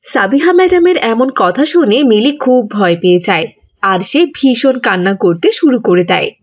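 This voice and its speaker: A woman's voice: a little high, animated, normal speed. A woman reading scripted narration for a children's cartoon story.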